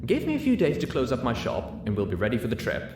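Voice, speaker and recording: A man's voice: medium pitch, wildly swooping pitch, quick pace, reverberant, background noise. A man voicing a refined, dramatic, fashionable female pony with a genteel, affected manner, in a story reading. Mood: concerned, poised.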